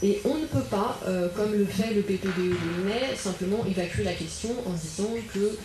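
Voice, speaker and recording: A woman speaking as an invited regional councillor at a public political meeting, in halting phrases with many filled pauses. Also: medium pitch. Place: indoor public meeting room. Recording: roomy echo, audible background noise.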